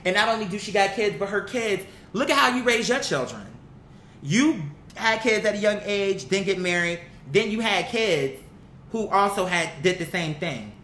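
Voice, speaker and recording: Online commentator, mid-pitched and sharp-toned, emphatic, slangy, swears freely, opinionated off-the-cuff talk, roomy home-style recording. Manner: blunt, emphatic, slangy and profane. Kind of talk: casual opinionated commentary, unscripted talk to viewers. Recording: echoey room, bright sound, faint background noise.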